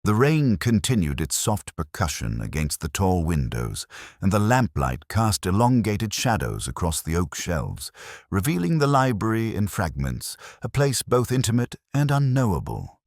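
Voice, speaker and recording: A man reading a scripted first-person detective story in a measured, reflective, literary manner. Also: on the low side, huge pitch swings, darkish tone, no echo, clean studio sound. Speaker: audiobook-style story narrator.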